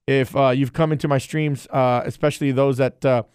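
Smooth-voiced man hosting a casual podcast. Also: medium pitch, fairly even intonation, fairly quick, a little mellow, dry recording, clean studio sound. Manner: loose, rambling, friendly chat. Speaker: podcast host and streamer. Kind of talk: casual solo podcast monologue.

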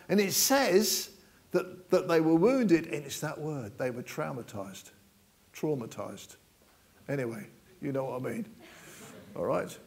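A man's voice: on the high side, darkish tone. A man preaching an informal sermon in a chatty, joking way, rambling with many restarts.

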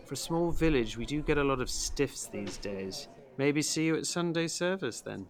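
Breezy country undertaker for a male actor: medium pitch, blunt and avuncular, dry wit, audio drama. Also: lively intonation, moderate pace, lightly acted. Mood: brusque, wry. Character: blunt, breezy village undertaker, cagey lodge man.

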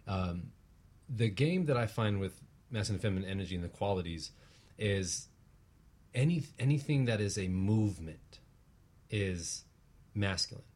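A man speaking as a talk show guest, explaining conversationally in deliberate, emphatic short phrases. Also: on the low side, lively intonation, darkish tone.

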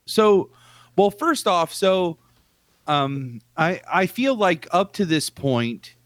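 A man's voice: sits high, animated, slow pace, dry recording. A man co-hosting a podcast in casual chat, emphatic and loose, swearing casually and filling with "like" and "you know". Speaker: podcast co-host.

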